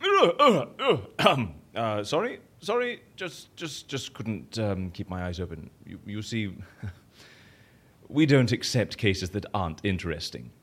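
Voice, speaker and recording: An actor playing a flamboyant, easily bored, petulant interviewer in an audio comedy-drama, theatrical and fussily mock-polite. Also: male voice, on the low side, very animated, measured pace, fairly bright tone, slightly rough, full character voice. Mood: mock-apologetic. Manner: theatrical, fussy, mock-polite, stammering for show.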